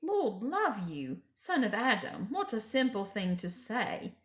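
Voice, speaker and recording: A woman's voice: on the high side, sing-song, slow pace, fairly bright tone, voice pushed up, full character voice. Woman playing an emphatic, folksy old-fashioned male beaver, roomy. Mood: emphatic, reverent. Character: gruff, earnest, reverent adult male talking beaver. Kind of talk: children's storybook character voice.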